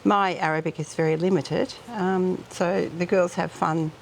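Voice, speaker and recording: Chatty Australian woman with a gravelly voice. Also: on the low side, fairly even intonation, a little slow, background noise. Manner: chatty, rambling, many ums. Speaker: hotel co-owner. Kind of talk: casual interview chat.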